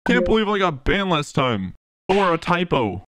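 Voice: medium pitch, big pitch swings, moderate pace, music in the background. A performer doing comedic cartoon voice-over with playful, exaggerated reactions. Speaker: voice-over creator.

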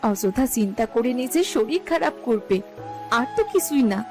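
A woman's voice: a little high, expressive, normal speed, music in the background, lightly acted. A woman playing a caring, reassuring young village daughter in a cartoon. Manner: consoling, reassuring. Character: caring grown-up village daughter, consoling and hopeful. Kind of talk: Bengali fairy-tale cartoon dialogue.

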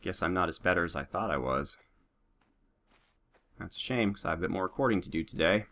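A man's voice: low voice, fairly quick, creaky. A man doing joking gameplay commentary, slightly sick with a bit of a nasal sound and a sore throat. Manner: animated, joking, talks to his troops and viewers. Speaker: let's play host.

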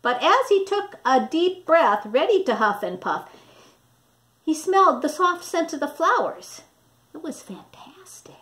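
A middle-aged woman narrating a children's storybook expressively, with dramatic, deliberate emphasis on words. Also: high voice, sing-song, a bit bright. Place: small indoor room with wall displays.

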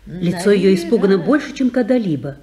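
A woman narrating the stage directions of a 1950s radio drama in a calm, measured manner. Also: mid-range voice, a crowd in the background.

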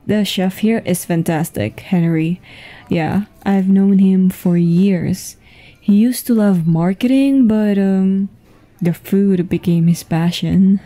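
A woman playing a flirtatious, easygoing businesswoman on a date, in a casual, intimate ASMR roleplay with a soft voice. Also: mid-range voice, close to an everyday voice. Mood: relaxed, chatty. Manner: unhurried, giggly, casual. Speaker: audio roleplay voice actor. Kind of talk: intimate ASMR roleplay acting, one-sided conversation. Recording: music behind the voice.

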